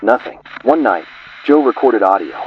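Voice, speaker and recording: Low-voiced guy reading creepy horror narration, ominous and choppy, pitch jumps around a lot, muffled sound with light hiss. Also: big pitch swings, normal speed. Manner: ominous, grave delivery in short clipped fragments.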